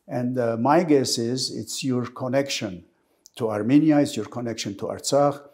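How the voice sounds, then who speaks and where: Measured, formal male interviewer. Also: medium pitch, darkish tone. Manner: measured, formal introductions with pauses. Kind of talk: interview questions.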